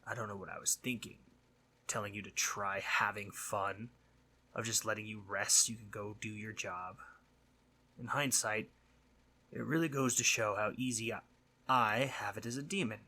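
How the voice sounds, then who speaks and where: A man playing a mischievous but concerned sleep demon in a roleplay audio monologue, husky and conversational, earnest and teasing, sometimes dropping to breathy near-whispers. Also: fairly low pitch, fairly even intonation, moderate pace, pushed and bright, full character voice. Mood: worried, reflective.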